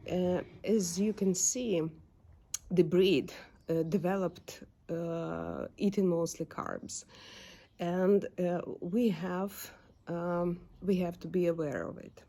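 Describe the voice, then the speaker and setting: A young woman with a Ukrainian accent talks slowly and unhurriedly in a casual home vlog. Her voice is soft and mellow, and she pauses often with fillers while searching for her English words. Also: on the low side, creaky. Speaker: dog owner vlogger. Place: home backyard.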